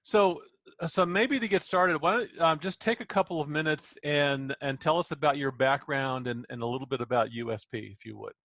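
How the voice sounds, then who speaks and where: A man's voice: on the high side, normal speed, a bit bright, dry recording. A middle-aged man hosting a webinar over a video call, businesslike and given to repeating words as he restarts. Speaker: host.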